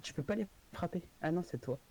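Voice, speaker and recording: A young man chatting casually while playing a video game, reacting with quick excited exclamations. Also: on the high side, gravelly, heavy background noise. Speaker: gamer. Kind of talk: casual gaming chat.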